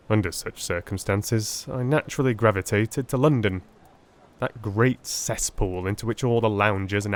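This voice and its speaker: A man playing a weary Victorian army surgeon recounting his memoirs in a measured, formal, theatrical audiobook reading. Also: on the low side, natural voice. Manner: measured, formal, literary delivery. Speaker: audiobook narrator.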